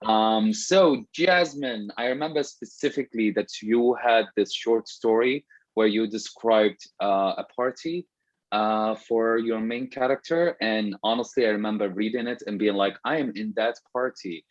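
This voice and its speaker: Man from Syria, moderating a video call panel, low voice, dry and dull audio, chatty with lots of restarts. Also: a little slow, no room sound. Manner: warm, chatty, with many fillers and restarts. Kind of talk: casual panel conversation. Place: room at home.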